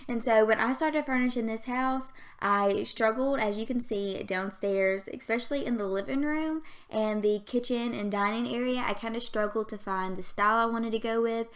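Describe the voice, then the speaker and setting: A woman's voice: fairly high pitch, moderate pace, few pauses. A woman in her twenties with a Southern US accent and a youthful, girlish voice, chatting casually and rambling over a game build, often giggling and stumbling over her words. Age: 20s. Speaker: YouTube gaming creator.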